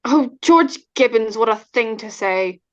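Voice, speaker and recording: An actress playing a calm, reassuring middle-aged wife and mother in an old-time radio drama. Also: on the high side, measured pace. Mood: touched, fond. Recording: dull, muffled online recording.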